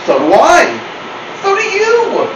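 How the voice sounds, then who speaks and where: A man voicing a naive, excitable pet dog who blurts out incredulous questions. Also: very high voice, slow pace, voice pushed up, exaggerated voice. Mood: matter-of-fact, smug. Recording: roomy, distant mic, clear noise.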